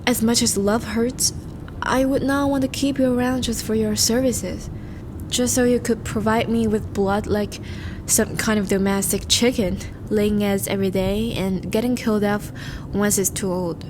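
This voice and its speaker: A woman playing a charming, once-noble vampire in a romantic audio roleplay, speaking formally and intimately to the listener, with a soft, hesitant manner. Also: mid-range voice, fairly even intonation, normal speed, noisy background, close to an everyday voice. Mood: tender, vulnerable. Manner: formal, courteous, hesitant, soft-spoken confessions.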